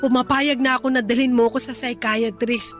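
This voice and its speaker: A woman acts out a middle-aged Filipino mother in an emotional radio drama. Her voice is high and expressive, full of affection and regret as she pleads tenderly. Music plays softly behind her. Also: normal speed, lightly acted. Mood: earnest, light. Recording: music bed under the dialogue.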